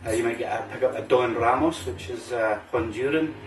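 A relaxed middle-aged Scottish man with an Aberdeen accent chats easily about cigars across a home bar table. He is picked up by a camera mic some way off, so the room sounds roomy and a little distant. Faint music plays behind him. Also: mid-range voice, fairly quick. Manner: relaxed, chatty, local slang like "wee" and "aye". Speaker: cigar review host. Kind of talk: casual chat.

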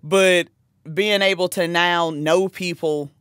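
A woman's voice: on the low side, steady tone, slow, a bit bright, clear, resonant tone, no echo, clean studio sound. A woman from South Carolina with a Southern accent, hosting a podcast interview in casual, enthusiastic chat, laughing often and restarting her sentences. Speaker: podcast host. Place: small dark studio with couches. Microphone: studio mic on stand.

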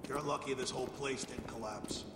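Actor as menacing American agent, heavy reverb. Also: male voice, mid-range voice, fairly even intonation, moderate pace, very noisy, natural voice. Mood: scolding. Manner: cold, commanding. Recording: echoey hall, loud music, distant.

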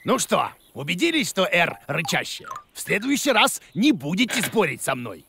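Blustery, creaky cartoon creature, very animated. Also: male voice, high-pitched, normal speed, background noise, exaggerated voice. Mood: showing off. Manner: blustering, theatrical, prone to exclaiming. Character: excitable, bossy, bumbling male bearded cartoon creature. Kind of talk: animated children's cartoon acting.

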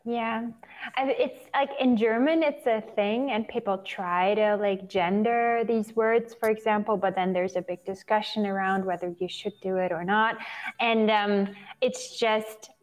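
A woman's voice: medium pitch, fairly even intonation, a little slow. Earnest young woman co-hosting a chat. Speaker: co-host. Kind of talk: casual chat. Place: home room. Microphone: video call.

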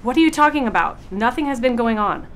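A woman's voice: on the high side, expressive, fast talker, a little hiss or hum, close to an everyday voice. An American woman in her thirties playing a guilty, pleading bride in a dramatic short film. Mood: defensive. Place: hotel room. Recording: clear background music.

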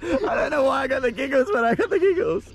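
An Australian man chatting excitedly while fishing on a boat, often repeating himself as he calls out. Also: very high voice, fast talker. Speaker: host of a fishing and camping vlog. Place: outdoors on a small boat at sea. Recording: noisy outdoor boat recording.

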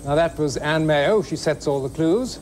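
A man hosting a game show from a studio, speaking in a measured, formal way and reading clues out slowly and clearly. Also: fairly high pitch. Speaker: studio host. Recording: noisy old TV studio recording.

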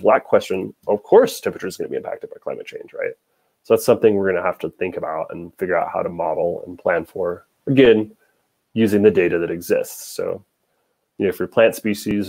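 A man's voice: on the low side, a little mellow. A young American man from Nevada giving an informal slide lecture as a scientist over a video call, explaining by posing questions. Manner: explanatory, poses questions and answers them. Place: indoor room, video call.

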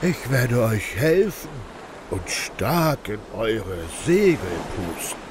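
Mighty friendly wind, voiced by a man, gravelly, slow, noisy ambience, children's audio play. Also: medium pitch, very animated. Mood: eager, helpful. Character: helpful, mighty male wind spirit.

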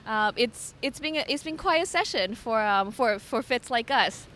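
Halting, higher-voiced woman being interviewed. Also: lively intonation, sharp tone. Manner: hesitant, halting. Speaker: medical fellow being interviewed. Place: large convention hall. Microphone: handheld microphone. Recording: faint convention hall rumble.

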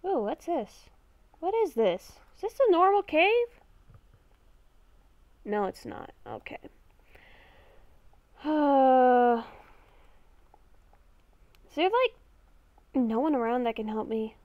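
A woman playing a confused, exasperated young werewolf-vampire hybrid in an improvised game roleplay, thinking aloud in a halting, dramatic way. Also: sits high, big pitch swings, dry recording, lo-fi sound, exaggerated voice. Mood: lost, puzzled. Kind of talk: improvised Minecraft roleplay narration while playing.

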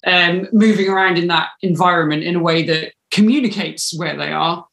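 A woman's voice: fairly low pitch, normal speed, gravelly, a bit bright, reverberant. A woman in her thirties chatting in an interview, thinking aloud with some hesitation, on a video call from a room at home. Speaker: audio drama writer, interviewee. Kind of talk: casual interview conversation. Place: small home room, indoors. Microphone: video call, mic at a distance.

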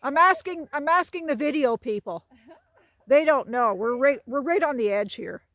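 A woman's voice: sits high, lively intonation, normal speed, fairly bright tone, dry recording, dull audio. A woman in her sixties filming herself on a hilltop trail hike, chatting and joking with a laugh while she walks outdoors. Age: 60s. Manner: chatty, jokey, laughs often. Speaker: vlogger hiking with a group. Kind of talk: casual talk to camera. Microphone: phone held while walking.

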